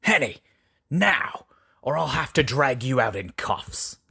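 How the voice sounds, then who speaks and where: A stern, excitable policeman acted by a man in a radio-style play. His voice is forceful and rough, swinging widely in pitch as he barks orders. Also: slow pace, heavily acted. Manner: barking orders. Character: gruff, bossy male police officer, excitable and stern. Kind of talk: audio play acting.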